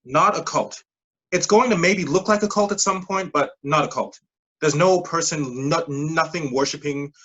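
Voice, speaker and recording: A persuasive scheme recruiter played by an actor in a staged play reading. The voice sits at a middling pitch with a slightly husky edge, pressing insistently in clipped phrases while dodging plain words. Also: normal speed, a bit bright, dull audio, close to an everyday voice. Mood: insistent, earnest. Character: smooth-talking, pushy pyramid-scheme recruiter mentoring a junior coworker.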